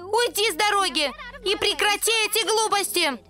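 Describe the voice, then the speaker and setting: A spirited cartoon angel girl. The voice is very high and youthful, with music clearly behind it. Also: moderate pace, sharp tone. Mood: annoyed, defiant. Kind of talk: animated series dub acting.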